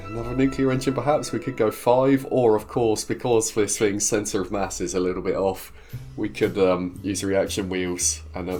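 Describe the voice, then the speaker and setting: A man's voice: fairly low pitch, a little mellow. A man with a cold, hosting a video game series in a cheerful, chatty, rambling way while he builds and narrates. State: congested from a cold. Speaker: gaming video host. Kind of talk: casual gameplay commentary.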